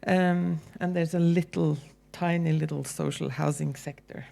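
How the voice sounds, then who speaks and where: A woman with a Norwegian accent giving a relaxed, conversational public lecture as a university lecturer, with frequent ums and restarts. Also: deep voice, unhurried, creaky. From Norway.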